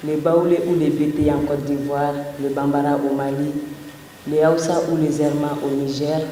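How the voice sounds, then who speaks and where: A man representing an institution giving a steady, formal interview statement. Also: a little high, slight background noise. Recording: distant echoey hall.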